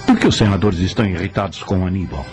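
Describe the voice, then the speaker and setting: Deep, inquisitive radio drama listener. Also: male voice, lively intonation, quick pace, darkish tone, soft background music. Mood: curious. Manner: questioning, inquisitive.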